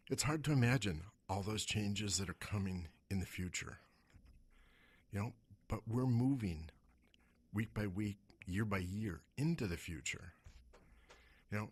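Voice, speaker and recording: A man hosts a radio program on money, his voice hoarse and husky. He speaks in a fairly low register, leaning on rhetorical questions with lively rises and falls. The sound is close studio microphone. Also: dry recording. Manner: rhetorical questions, animated pitch swings. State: hoarse from smoke. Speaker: radio show host and investment advisor. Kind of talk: talk radio monologue.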